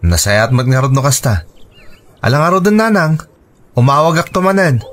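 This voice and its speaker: An actor playing a dutiful, hardworking adult son in an Ilocano radio drama, respectful and reassuring, with a Filipino Ilocano accent. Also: male voice, medium pitch, lively intonation, moderate pace, lightly acted. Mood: affectionate.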